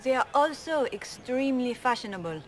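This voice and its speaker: A woman's voice: high voice, expressive, brisk. An actress playing a prim, vain lady-in-waiting in a fantasy game, speaking in a formal, courtly manner. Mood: prim, assured. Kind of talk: video game dramatic acting.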